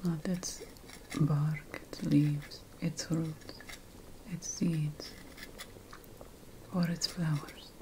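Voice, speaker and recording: A woman playing an ancient Sumerian witch priestess in a whispered ASMR fantasy role-play, breathy, solemn and deliberate as she addresses an apprentice. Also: deep voice, level tone, warm tone, a little hiss or hum, exaggerated voice, low-quality recording. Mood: solemn warning.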